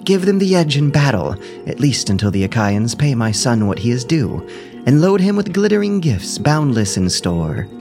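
Goddess mother, male performer: raised high pitch, pleading formal diction, ancient Greek epic audio drama over clear music. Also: sing-song, moderate pace. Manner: imploring, formal archaic diction. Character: pleading sea goddess mother, imploring Zeus for her son.

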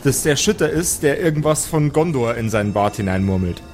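A man improvising narration as game master of a tabletop role-playing game, halting now and then to search for words. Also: medium pitch, lively intonation, a little mellow, faint music behind.

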